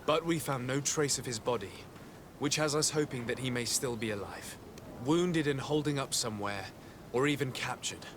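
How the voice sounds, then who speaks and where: A male actor voices a young noble diplomat in a fantasy game, a man of medium pitch. He is formal, resolute and used to questioning people with authority. A clear outdoor rumble sits behind the voice. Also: moderate pace, natural voice. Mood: earnest, resolute. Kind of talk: video game cutscene acting.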